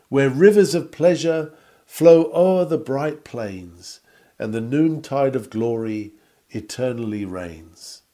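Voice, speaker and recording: Guy reading out an old sermon, mellow voice, earnest and measured, kinda oratorical. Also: mid-range voice. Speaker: sermon reader. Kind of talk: sermon read aloud, old-fashioned scripted text.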